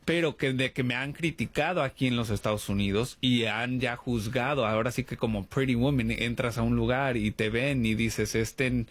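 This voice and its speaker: A man's voice: mid-range voice, normal speed, few pauses. A US-born man with a Mexican-American Spanish accent hosting a radio talk show, his words sometimes tumbling. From United States. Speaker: radio host. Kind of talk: casual radio chat.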